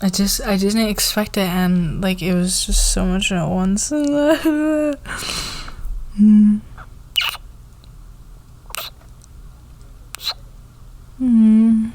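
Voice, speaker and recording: A woman playing a flustered, fair-minded company boss in a casual roleplay ASMR, with a halting, informal, giggly manner. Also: mid-range voice, warm tone, slight background noise. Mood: flustered, giddy.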